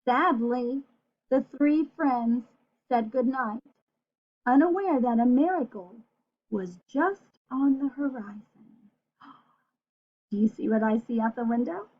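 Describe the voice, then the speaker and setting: High-voiced woman reading to children, unhurried. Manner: playful, asks listeners questions. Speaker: storyteller reading a picture book aloud to children. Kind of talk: animated children's read-aloud with asides to the listener. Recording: dull, lo-fi sound.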